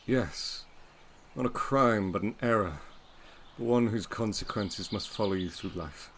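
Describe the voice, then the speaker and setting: Low-voiced, brooding gentleman of the manor. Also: slight background noise. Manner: intense, imperious, theatrical. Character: brooding, commanding gentleman master of a gothic manor. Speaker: actor in an audio drama. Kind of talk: period audio drama acting.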